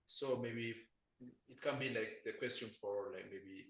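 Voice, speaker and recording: A man from Ethiopia asks questions on a video call in a halting way, filling gaps with "like", and his mic sounds faint and muffled. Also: mid-range voice, a bit bright, roomy sound. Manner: halting, heavy use of "like" fillers. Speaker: webinar participant asking questions.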